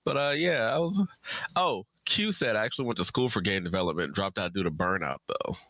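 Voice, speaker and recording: A man's voice: on the low side, a little fast, pushed and bright, no echo. A man chatting casually as a guest on a livestream, speaking in an emphatic, effusive way. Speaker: guest writer.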